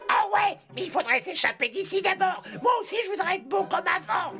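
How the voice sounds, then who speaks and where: A squeaky goblin sidekick for a French cartoon. The voice is high and leaps around excitedly. The goblin sounds vain, silly and whiny. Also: fairly quick, soft background music, heavily acted. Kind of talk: French children's cartoon dubbing.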